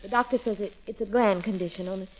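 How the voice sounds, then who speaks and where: A woman's voice: medium pitch, fast talker, lightly acted. An actress playing a timid, earnest young beauty-shop girl in an apologetic, hesitant 1930s radio romance style. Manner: apologetic, hesitant, breathless exclamations. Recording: muffled, crackling 1930s radio recording.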